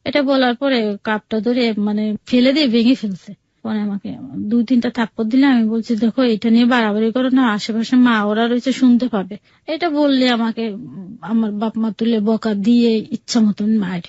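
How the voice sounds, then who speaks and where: A woman with a Bangladeshi Bengali accent and a fairly high voice recounts her life as an interview guest. She talks plainly and conversationally, quoting people as she goes. The sound is close and dry, though somewhat dull. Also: steady tone, moderate pace, a little mellow, dry recording. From Bangladesh, outside Sylhet. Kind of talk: personal storytelling in a radio interview.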